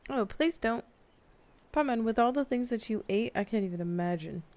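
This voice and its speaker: A woman's voice: on the high side, expressive, normal speed, a little mellow. A woman doing casual, slangy, playful live commentary as she streams gameplay.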